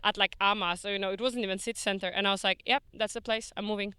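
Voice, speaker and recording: Young woman, bright crisp voice, chatty n laughy, lots of likes. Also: mid-range voice, fairly even intonation, very fast, dry recording, studio quality. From Poland. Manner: chatty, laughs often, many fillers. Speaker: street interviewee. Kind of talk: casual street interview.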